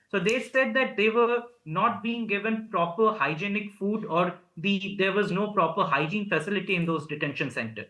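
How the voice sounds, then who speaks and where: A man with an Indian accent explaining formally and haltingly as an advocate in a news interview. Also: high voice, pushed and bright, echoey room, poor audio. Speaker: lawyer and human rights advocate being interviewed.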